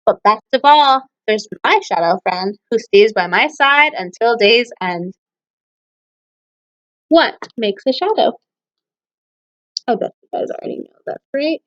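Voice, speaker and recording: A woman's voice: fairly high pitch, animated, unhurried, pauses often, a bit bright, highs cut off. A woman teacher reading children's poems aloud and explaining things to young listeners, often putting questions to them. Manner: addresses children with frequent questions.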